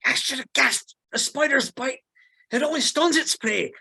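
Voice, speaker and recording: A woman playing a loyal, anguished male halfling servant in a fantasy script read, husky and earnest. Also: high voice, moderate pace, pushed and bright, exaggerated voice. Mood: torn, anxious.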